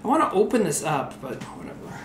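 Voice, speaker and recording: A man in his early forties with a fairly high voice rambles through a casual story, laughing and swearing as he goes. He sounds a little tipsy from rum. The room around him is echoey with faint noise behind. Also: very animated, fairly quick. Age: 40s. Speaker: comedian streaming while cooking.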